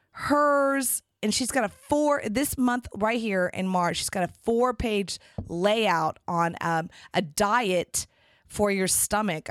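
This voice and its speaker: A woman hosting a podcast in a casual, rambling monologue, her voice raspy and hoarse from being sick. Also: on the low side, dry recording, studio quality. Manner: rambling and chatty, with frequent restarts. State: hoarse from a respiratory infection. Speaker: podcast host.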